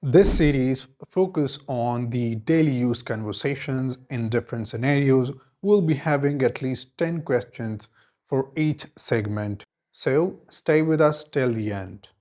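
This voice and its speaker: A man, teaching an English lesson and giving clear, deliberate model answers, each repeated in several forms. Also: medium pitch, dark tone. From Khyber Pakhtunkhwa, Pakistan. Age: 20s.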